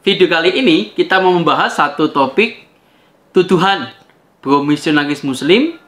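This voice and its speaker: A man with a fairly high voice. His tone is bright and sharp. He hosts a commentary video, talking directly to viewers and putting questions to them in a conversational way. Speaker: video host. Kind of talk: spoken rebuttal commentary to viewers.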